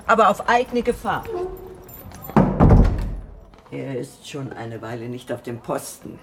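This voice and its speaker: An actor playing a wary, grudging lodging-house gatekeeper in a radio drama, guarded and curt. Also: male voice, mid-range voice, big pitch swings, unhurried, vocal fry, very noisy.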